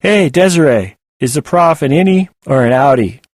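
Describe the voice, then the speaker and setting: A man's voice: fairly high pitch, animated, moderate pace, no echo, clean studio sound, voice pushed up, lightly acted. A man voicing a teasing, crude young male rebel. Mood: mocking. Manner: mocking.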